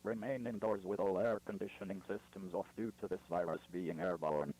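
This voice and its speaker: A man's voice: low-pitched, steady tone, a little fast, a little mellow, slight background noise, close to an everyday voice, poor audio. A man playing a stern, impersonal emergency alert announcer, giving formal scripted instructions. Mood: stern, official.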